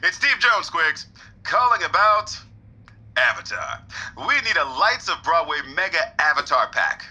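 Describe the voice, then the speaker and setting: An actor playing a pushy showbiz producer who bosses people around on the phone. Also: male voice, fairly high pitch, sing-song, sharp tone, slightly rough, exaggerated voice, rough recording. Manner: pushy, brisk, bossy. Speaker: theatre producer.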